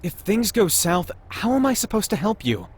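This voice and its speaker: A man's voice: high voice, very animated, moderate pace, breathy edge, exaggerated voice. An actor playing an anxious, sarcastic young male android in a visual novel game, sulky and worried.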